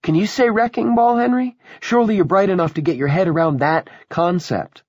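A man's voice: sits high, fairly quick, no echo, dull audio, pitched above the performer's own voice. A man voicing a fierce, impassioned young dead woman in an audiobook, emphatic and pleading. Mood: taunting, scornful.

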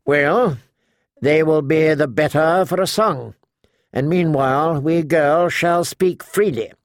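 A man voicing a sharp, imperious old noblewoman in an audiobook, blunt, commanding and mocking. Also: on the high side, takes it slowly, dry recording, clean studio sound, pitched above the performer's own voice. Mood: wry, confiding. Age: older.

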